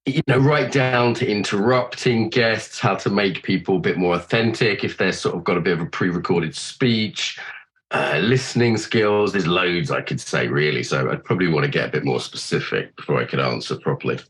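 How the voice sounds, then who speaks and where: A man's voice: low-pitched, normal speed. Chatty man with a cold, swearing casually. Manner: chatty, swears freely, thinks out loud. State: has a cold. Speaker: podcast host being interviewed. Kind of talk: casual chat.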